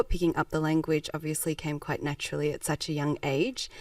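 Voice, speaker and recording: A woman's voice: lowish voice, darkish tone, dry recording. A Japanese-born woman, reflecting thoughtfully as a podcast guest and laughing often. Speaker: guest, social enterprise founder. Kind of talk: conversational podcast interview. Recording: dry, slightly distant mic.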